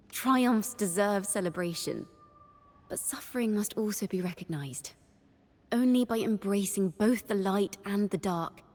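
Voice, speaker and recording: A young horned bard tells her tales in a game. Her voice sits at a medium pitch, lyrical and expressive, cheerful yet touched with wistfulness. A long, hall-like reverb hangs on her words. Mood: reflective. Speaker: game character voice. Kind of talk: scripted character monologue.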